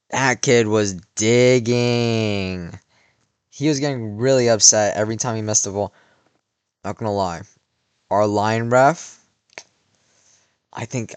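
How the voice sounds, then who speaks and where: A man's voice: mid-range voice, clean tone, dry recording. Teen guy rambling a story, lots of "like", voice kinda worn out, dry close sound. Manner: rambling, many "like" fillers and restarts. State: voice worn from cheering. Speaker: vlogger. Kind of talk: casual storytelling.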